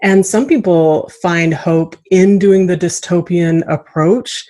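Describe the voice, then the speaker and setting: A woman's voice: lowish voice, fairly even intonation, takes it slowly, warm tone. A woman hosting a casual interview, chatting in an enthusiastic, affirming way. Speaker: podcast host.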